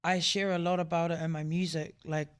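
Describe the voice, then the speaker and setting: A woman's voice: on the low side, level tone, a little fast, clean tone. Chatty young woman hosting a radio interview. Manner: chatty and affectionate, heavy on "like" and "you know". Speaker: radio show host. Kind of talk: casual interview chat.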